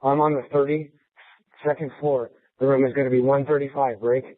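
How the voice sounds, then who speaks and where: Mid-pitched policeman through narrowband radio. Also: little pitch movement, moderate pace, dry recording. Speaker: police officer. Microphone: two-way police radio.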